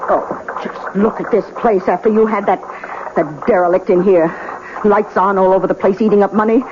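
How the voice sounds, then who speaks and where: A woman's voice: mid-range voice, steady tone, normal speed, lightly acted. A woman playing a bossy, penny-pinching sister-in-law who nags and commands in vintage radio drama style. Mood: scolding. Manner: sharp-tongued, commanding, nagging. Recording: dull, noisy old radio recording.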